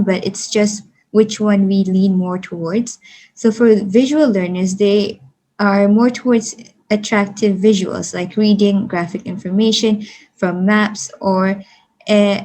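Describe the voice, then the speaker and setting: Young female student from Malaysia, class presenter, mid-pitched, explains steadily with fillers. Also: mellow, slight background noise, low-quality recording. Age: young adult.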